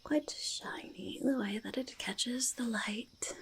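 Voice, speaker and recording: A woman voicing a quirky, treasure-loving goblin in a soft ASMR roleplay, slipping between soft speech and breathy whispers, repeating words in a quaint, halting way. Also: fairly high pitch. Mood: fascinated, delighted. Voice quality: breathy half-whisper with creaky fry. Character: quirky, treasure-loving, mildly menacing forest goblin, speaking in third person.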